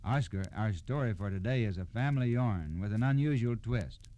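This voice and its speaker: A man's voice: on the low side, expressive, normal speed, warm tone. A man reading scripted narration as a storyteller on 1960s radio.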